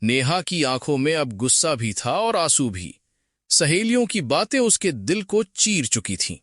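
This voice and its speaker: A man narrating a cartoon story in an expressive, dramatic way. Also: on the high side, unhurried, dry recording, studio quality.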